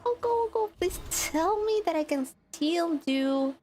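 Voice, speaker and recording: A woman's voice: very high voice, lively intonation, a little slow, dark tone, soft background music. A woman streamer with a stuffy nose, chatting casually with viewers while playing a game. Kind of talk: live gaming stream chat.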